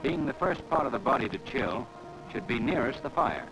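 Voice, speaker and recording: A man's voice: mid-range voice, animated, normal speed, poor audio. A man reading formal, instructive scripted narration on a 1940s training film soundtrack. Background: music.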